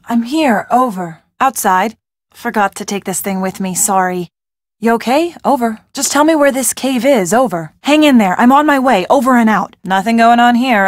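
A woman's voice: on the high side, no room sound, studio quality, close to an everyday voice. An actress playing a spirited, earnest young woman in a video game, a loyal and anxious friend who is wry and plucky.

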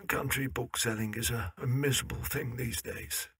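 Elderly male shopkeeper, played by a male actor: wry, glum, heavily husky, bright edge, dry close sound. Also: mid-range voice, steady tone, normal speed, dry recording. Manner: doleful, dry. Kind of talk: audio drama character acting.

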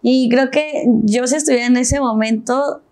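A woman's voice: sits high, normal speed, a little mellow. A young woman with a Mexican accent, a panel guest reading scripture and then talking it through. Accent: Mexican Spanish. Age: young adult. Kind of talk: Bible reading and discussion. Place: TV studio set. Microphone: lapel.

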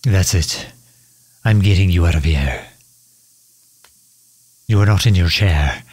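Guy doing a bossy protective villain for audio roleplay, real deep n breathy, formal and stern. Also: a little mellow, dry recording, full character voice. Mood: urgent, commanding. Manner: formal, stern, halting when flustered. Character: protective, commanding male villain fretting over injured hero. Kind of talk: scripted roleplay audio drama in ASMR style.

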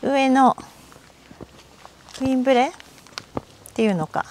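A woman's voice: sits high, takes it slowly, darkish tone, a little hiss or hum. A middle-aged Japanese woman chatting to herself as she hikes a mountain trail outdoors, recorded on a body-worn camera. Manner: chatty, talks to herself while walking uphill. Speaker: hiking vlogger. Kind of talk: casual solo hiking vlog talk.

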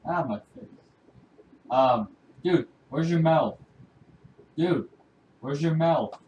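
A man chats through a video game, jokey and easily rattled, his pitch jumping around a lot. His voice sounds roomy and distant, as if it's coming over voice chat from across a room. A faint noise runs under it. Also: expressive, slow, darkish tone, dull audio. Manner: jokey, panicky, blurts out item names. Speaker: co-player, brother-in-law. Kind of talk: casual gameplay chat.

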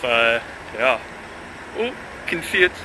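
A man's voice: medium pitch, slow, noisy background. Young man vlogging to a handheld camera. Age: young adult. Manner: relaxed, chatty, laughs easily. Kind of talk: casual vlog narration. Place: in a car, then outdoors in a parking lot. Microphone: handheld camera at arm's length.

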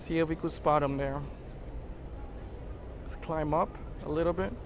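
A man with a fairly high voice narrates his drone flight in a chatty, marveling way. He talks outdoors, with clear street and traffic noise running behind him. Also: steady tone, a little slow. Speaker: drone videographer. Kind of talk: casual live narration while flying a drone.

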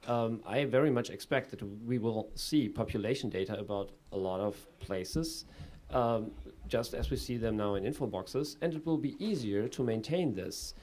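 A man in his thirties answering an audience question on a conference panel, measured and full of small fillers, into a table microphone in a conference room. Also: medium pitch, darkish tone. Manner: measured, with frequent fillers and restarts. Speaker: panelist presenting a project.

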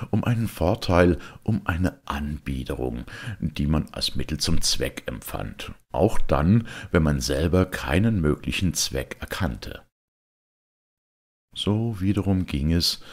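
A man giving an expressive, deliberate reading of a literary text, with emphatic pauses. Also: a bass voice, vocal fry, husky.

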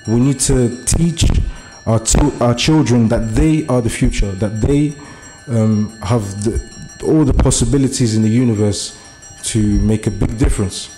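A man's voice: medium pitch, unhurried, few pauses, mellow, soft background music, low-quality recording. A young man from Germany giving an earnest public speech at a meeting, hesitant and full of fillers at first, then building to urgent appeals. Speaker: community speaker. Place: meeting room.

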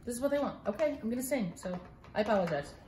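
A woman's voice: fairly high pitch, lively intonation, very fast, darkish tone, a little hiss or hum. A middle-aged woman reading a children's book aloud in a theatrical, playful way with mock-exasperated asides. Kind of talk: animated read-aloud of a picture book for children. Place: living room at home, indoors. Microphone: phone camera a few feet away. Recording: roomy home phone-video sound.

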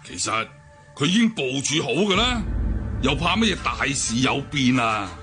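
An actor playing a proud, brooding older deposed martial-arts cult leader in a Hong Kong Cantonese radio drama, declaiming and sighing theatrically. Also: male voice, medium pitch, sing-song, normal speed, bright, forceful tone. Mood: indignant. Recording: music bed behind the voice.

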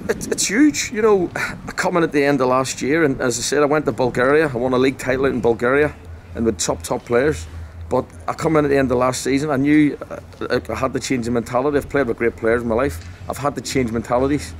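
A man's voice: mid-range voice, fairly quick. Man, 40s, football manager, post-match interview, blunt, passionate, outdoor stadium at night, faint background noise. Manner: emphatic, repetitive, blunt and passionate. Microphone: handheld mic held close.

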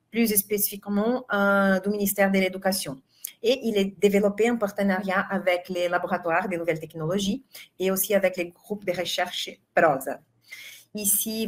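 A middle-aged Brazilian woman, a university professor, gives a conference talk in French with a Brazilian Portuguese accent. She speaks carefully, with hesitant pauses and frequent fillers. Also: medium pitch. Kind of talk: conference presentation. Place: home room, online. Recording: prerecorded video in echoey room, far from mic.

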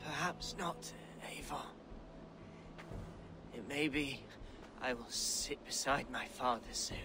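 An actor playing a gentle young warrior in a video game, weak, short of breath and dying. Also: male voice, mid-range voice, slow pace, noisy background. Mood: weak, tender. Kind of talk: dramatic video game acting.

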